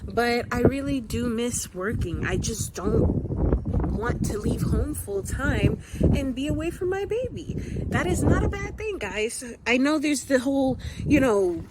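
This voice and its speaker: A woman's voice: on the high side, animated, unhurried, heavy background noise. Chatty young woman vlogging from her car. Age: 20s. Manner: upbeat, chatty, addresses viewers as guys. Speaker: vlogger, new mother. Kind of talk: casual vlog talk to camera. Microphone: phone camera. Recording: loud background music over voice.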